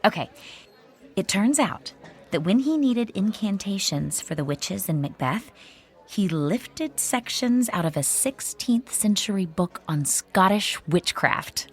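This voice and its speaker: A woman's voice: medium pitch, expressive, takes it slowly, breathy edge, heavily acted. An actress playing an earnest, superstitious theatre woman who explains magic lore in a conversational radio drama style. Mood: earnest, explanatory.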